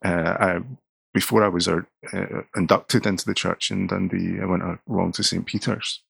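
A man's voice: low voice, flat delivery, normal speed, vocal fry, a bit bright, breathy edge. A middle-aged Scottish man, a pastor, talking earnestly in an interview and often repeating words as he starts a sentence. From Scotland. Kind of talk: conversational interview.

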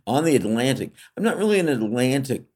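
A man's voice: mid-range voice, sing-song, fast talker, darkish tone. A middle-aged man from California, co-hosting a podcast in casual, reflective conversation full of fillers and restarts. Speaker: podcast co-host.

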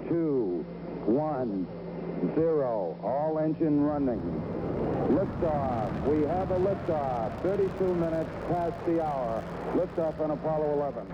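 A man's voice: fairly high pitch, animated, takes it slowly. A man calling a rocket launch as an announcer, on a noisy archive recording. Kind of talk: rocket launch countdown commentary. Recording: muffled archive recording, loud roaring noise.

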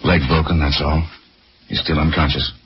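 A man playing a gruff, blunt but fond police lieutenant in 1940s radio acting style. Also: extremely low pitch, fairly even intonation, normal speed, full character voice. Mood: brusque. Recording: muffled noisy 1940s radio broadcast.

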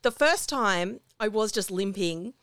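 Australian woman, podcast co-host, slightly husky, rambling casual chat, dry sound. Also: mid-range voice, lively intonation, unhurried, fairly bright tone, no room sound. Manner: chatty, rambling, self-deprecating. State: slightly husky after laryngitis. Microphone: home recording over video call.

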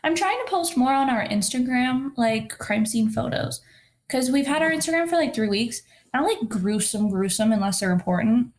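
A woman's voice: fairly high pitch, expressive, moderate pace. A young woman in her twenties with a nasal voice, co-hosting a podcast in casual chat, swearing and laughing often. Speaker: podcast co-host.

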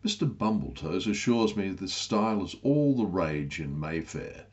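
Guy doing a prim bold governess, crisp n formal, georgian storybook, slightly dull audio. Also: low-pitched, lively intonation, normal speed, darkish tone. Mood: puzzled. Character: prim young governess, bold, indignant, self-possessed woman. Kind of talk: comic Georgian storybook dialogue.

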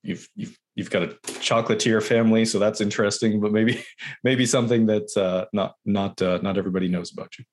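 Deep-voiced man hosting, halting speech. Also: steady tone. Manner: halting, repeats words. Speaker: podcast host. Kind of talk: casual interview hosting.

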